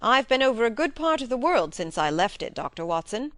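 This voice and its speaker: A woman's voice: fairly high pitch, very animated, a little fast, a bit bright, no room sound. Woman putting on an eager young baronet, dry sound. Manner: eager, plain-spoken.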